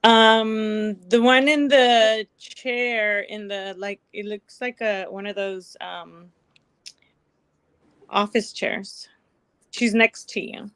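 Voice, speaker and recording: A woman taking part in a group session over a video call, answering slowly and hesitantly. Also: medium pitch, steady tone, fairly bright tone, clear, resonant tone, no echo.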